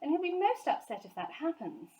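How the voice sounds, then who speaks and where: A woman's voice: sits high, big pitch swings, brisk, mellow, pitched above the performer's own voice, poor audio. A woman voicing an anxious, exclamatory, fussing family woman in a storybook. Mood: worried.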